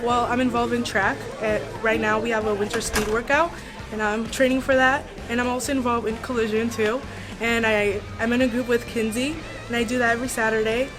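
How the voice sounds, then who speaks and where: A teenage girl from Togo in West Africa, a native French speaker, giving an informal testimonial as a student. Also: on the high side.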